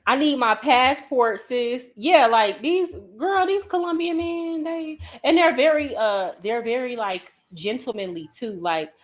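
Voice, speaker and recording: Chatty woman with a high-pitched voice. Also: unhurried, hardly any pauses. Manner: chatty, emphatic, conversational slang. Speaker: reaction vlogger and travel coach. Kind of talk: casual reaction commentary. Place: home in Colombia.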